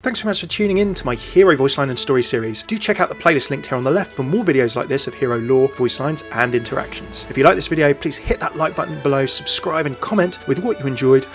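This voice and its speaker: A man's voice: mid-range voice, fast talker, hardly any pauses. A man hosting a video game lore video in scripted narration with chatty asides. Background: faint music.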